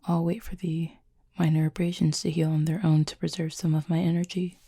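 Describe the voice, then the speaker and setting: A woman playing an injured fallen cupid in an intimate roleplay audio, soft and breathy, with a formal, measured, dryly aloof but curious manner. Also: deep voice, flat delivery. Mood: guarded, formal. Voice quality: soft, breathy, husky with fry. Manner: measured, formal, wordy phrasing.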